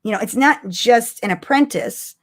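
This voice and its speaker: A woman's voice: fairly high pitch, expressive, normal speed. A woman co-hosting a podcast in casual fan discussion, thinking aloud in a conversational, emphatic way. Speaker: podcast co-host.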